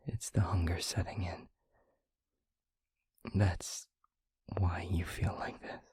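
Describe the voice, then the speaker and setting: A very breathy, husky vampire boyfriend acted by a man murmurs low and half-whispered in an intimate audio roleplay. He is gentle and concerned, hesitating often as he reassures his partner, with a slow, careful delivery. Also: level tone, dry recording, heavily acted. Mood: gentle, reassuring. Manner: soft, hesitant, halting, reassuring.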